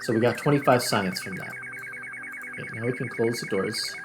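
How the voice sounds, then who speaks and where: A man's voice: medium pitch, moderate pace, noisy background. A man doing casual gameplay commentary as a host, thinking aloud and muttering his way through tasks. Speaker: host, gaming video creator.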